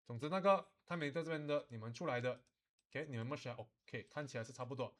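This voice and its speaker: Guy teaching animation software live, normal male pitch, casual, flips between languages, keeps checking ppl get it. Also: quick pace. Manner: switches between Mandarin and English, repeating explanations; informal, checks understanding often. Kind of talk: live software tutorial, explaining step by step to students.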